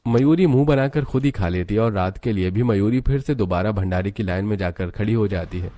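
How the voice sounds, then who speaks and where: A man's voice: lowish voice, fairly quick, dark tone. A man narrating a scripted moral story as its storyteller.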